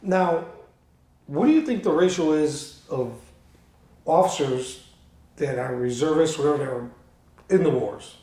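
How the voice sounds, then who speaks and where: A man's voice: fairly high pitch, measured pace, long pauses, dark tone. A man hosting a podcast, asking questions in a laid-back, profane way. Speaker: podcast host. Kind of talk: casual interview conversation. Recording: echoey room.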